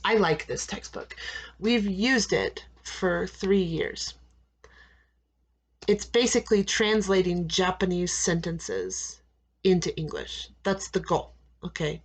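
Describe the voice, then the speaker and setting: An American woman teaching English, giving students slow, deliberate advice and repeating key phrases with frequent "okay?" checks. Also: lowish voice, lively intonation, husky. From United States. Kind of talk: classroom advice talk to students.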